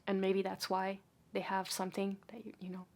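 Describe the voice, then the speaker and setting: A woman talking straight to her audience in a chatty, opinionated vlog, with a voice of ordinary middle pitch for a woman. She swings between loud emphatic bursts and quick hushed asides. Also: monotone. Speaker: vlogger. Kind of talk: casual opinionated monologue to camera.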